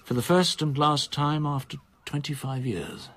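An actor playing a reserved solicitor in his sixties in a radio drama, with a measured, formal, precise manner. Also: a little high, a bit bright. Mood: wistful, confessional. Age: 60s. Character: reserved older male solicitor, in his sixties, quietly confiding.

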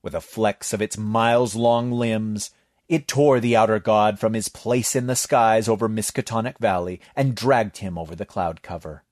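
A man reading a horror comedy story aloud in a measured storytelling manner. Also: fairly low pitch, fairly even intonation, a little mellow, no echo, clean studio sound. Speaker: narrator. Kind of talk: scripted story narration.

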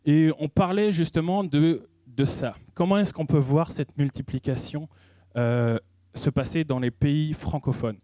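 A man giving a sermon in a conversational style, pausing often and restarting his sentences as he speaks. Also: a little high, mellow, no echo. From French-speaking. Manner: conversational preaching with frequent hesitations and restarts. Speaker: preacher.

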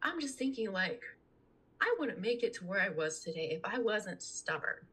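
A woman's voice: medium pitch, very animated, moderate pace, a bit bright, slightly rough. A young adult woman talking casually as a podcast guest, earnest and chatty. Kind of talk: casual conversational interview talk.

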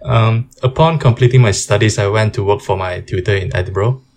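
A man from Malaysia in his thirties, a podcast guest and game co-founder, talking about his background in a measured way with fillers. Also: fairly low pitch, darkish tone. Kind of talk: casual interview talk.

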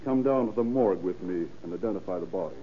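An actor playing a curt, impatient police detective in a 1930s radio drama. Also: male voice, deep voice, fast, lightly acted. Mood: excited, hopeful. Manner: curt, businesslike, clipped. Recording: tinny, hissy old radio recording.

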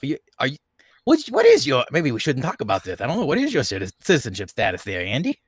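A man's voice: medium pitch, wildly swooping pitch, fast talker, husky, no room sound. A man co-hosting a podcast in casual chat, rambling and often circling back to repeat himself. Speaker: podcast co-host.

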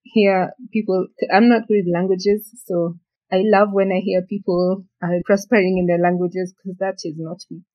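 A woman's voice: medium pitch, flat delivery, normal speed, dull audio. A woman hosting a casual interview, asking curious, encouraging questions. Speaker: host.

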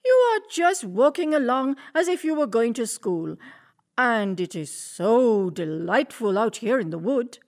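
A woman's voice: a little high, lively intonation, a little slow. A woman voicing a sly, hungry male wolf in a storybook tale, coaxing and scheming under a friendly front.

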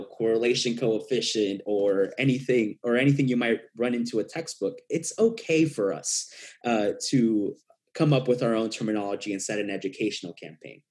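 A man's voice: mid-range voice, darkish tone. A young man from Peru, a native Spanish speaker and risk communication researcher, answering interview questions earnestly with frequent fillers and restarts.

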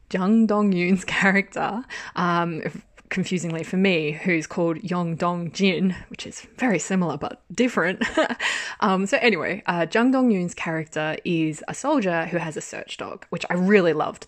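A young adult woman giving a casual, rambling spoken review of a drama, full of fillers and self-aware laughter. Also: lowish voice.

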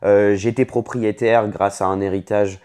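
Casual young Frenchman with a lowish voice. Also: level tone, a little slow, darkish tone, clear, resonant tone. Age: late 20s. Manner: informal, franglais slang mixed in. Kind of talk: casual chat about career and personal life.

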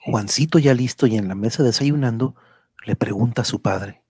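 A man with a husky voice narrating a scripted radio drama as a storyteller. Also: medium pitch, moderate pace, darkish tone, no room sound.

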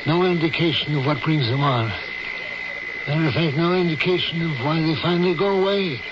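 An actor playing a weary, ailing older family doctor in an old-time radio drama, with a grumbling, halting, fatherly manner. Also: on the high side, dull audio, close to an everyday voice. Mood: grave, resigned. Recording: noisy, band-limited old radio recording.

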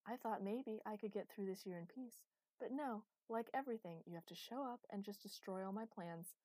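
A woman's voice: medium pitch, moderate pace, warm tone, no echo, voice pushed up, natural voice. A woman voicing an ancient, imperious female underworld ruler, bitter and sardonic, in a dramatized story. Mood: weary, exasperated. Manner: bitter, sardonic, hissing outbursts.

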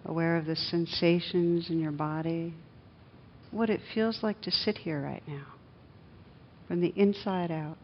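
A woman leading a guided meditation as the teacher, speaking in soothing, measured short phrases with pauses. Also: steady tone. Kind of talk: guided meditation, slow instructive speech. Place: a room with a live group. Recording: dull lo-fi recording, clear background rumble noise.

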